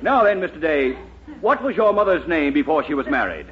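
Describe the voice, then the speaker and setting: A man's voice: fairly high pitch, sing-song, fairly quick. An actor playing an earnest, flustered lawyer in a 1940s radio comedy, formal and hesitant in his pleading. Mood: formal, patient. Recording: muffled 1940s radio recording, heavy noise.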